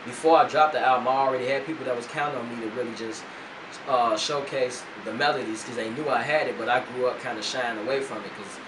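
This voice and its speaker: A man's voice: mid-range voice, fairly even intonation, fast talker. A young man who is a rapper, talking as an interview guest in a loose, laid-back way with lots of slang and fillers. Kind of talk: casual interview chat. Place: radio studio. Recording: roomy, distant mic, noisy hum.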